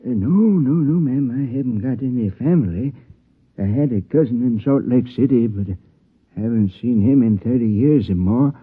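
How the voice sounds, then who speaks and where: Tinny old radio sound, male actor as elderly drifter, husky, halting, humble, meek. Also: medium pitch, natural voice. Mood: meek, hesitant. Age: older. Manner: hesitant, halting, meekly polite. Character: shabby, humble old drifter seeking work. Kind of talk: 1950s radio drama acting.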